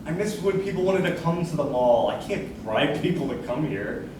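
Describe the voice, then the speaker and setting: A man's voice: a little high, expressive, normal speed, noisy background, lightly acted. A young man playing an earnest, anxious, nostalgic mall manager in a staged reading of a musical, heard from far off. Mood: wistful, worried. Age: young adult. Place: large echoey room, recorded from far off. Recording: distant, very echoey hall stage recording.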